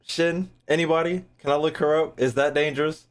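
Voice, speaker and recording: A woman's voice: deep voice, steady tone, brisk. A woman streamer giving casual reaction commentary on a video game, talking in a blunt, slangy, profane way.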